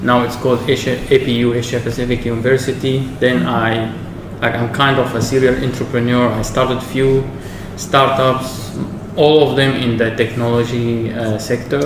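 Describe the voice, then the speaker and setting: Man from Syria, round-table host; halting, self-correcting, sits off the mic in an echoey room. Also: medium pitch, level tone, recorded from a distance, background noise.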